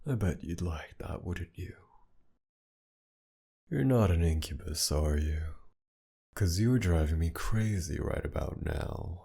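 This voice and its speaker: Casting: male actor for flirty villain captor, ASMR audio drama. Dark, mellow tone, husky and breathy, possessive, teasing delivery. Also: animated, exaggerated voice. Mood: impatient, flirtatious. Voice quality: low, gravelly, breathy. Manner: slow teasing drawl, often dropping to a whisper. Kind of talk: intimate ASMR roleplay acting.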